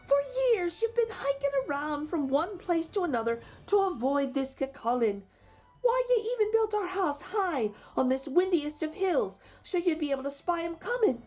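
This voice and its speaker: A woman's voice: extremely high pitch, very animated, normal speed, darkish tone, pitched above the performer's own voice. A woman voicing a tender but firm giant's wife from a storybook folktale, coaxing as she talks. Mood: gently firm. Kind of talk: storybook character voice.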